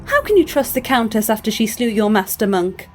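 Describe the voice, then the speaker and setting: Actress as bold girl fighter, raised pitch, lively swings, distrustful, direct, fantasy game. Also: fairly quick. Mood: suspicious, challenging. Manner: challenging, direct. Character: bold, suspicious young female warrior student. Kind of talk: fan-dub game character acting. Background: music.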